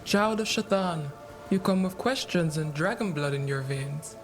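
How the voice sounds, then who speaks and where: An actor, a man, playing a solemn, cryptic seer in a fantasy video game, speaking in measured, oracular phrases. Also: a little high, expressive, faint music behind. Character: solemn Qunari seer, vessel for spirits, cryptic and grave.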